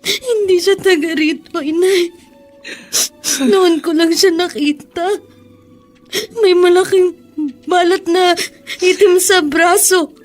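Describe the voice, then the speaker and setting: A woman playing a grieving, guilt-stricken young daughter, tearful and halting, in a Philippine radio drama. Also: very high voice, fairly even intonation, soft background music. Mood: tearful grief. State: tearful, crying.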